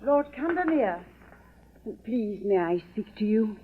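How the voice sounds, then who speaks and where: A woman playing a grieving, anxious, genteel mother who pleads politely in a husky voice, in old-time radio drama. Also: mid-range voice, big pitch swings, slow pace, heavily acted. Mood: grieving, pleading. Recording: tinny, lo-fi old radio recording.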